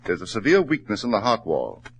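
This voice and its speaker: An actor playing a grave, outwardly sympathetic doctor in 1940s radio drama style, speaking in a measured, solemn, persuasive way. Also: male voice, on the low side, huge pitch swings, gravelly, exaggerated voice.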